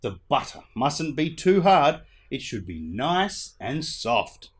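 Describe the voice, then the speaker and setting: A man's voice: medium pitch, big pitch swings, takes it slowly, husky, exaggerated voice. A man voicing a patient, instructive grandmother in a storybook, explaining things with emphasis.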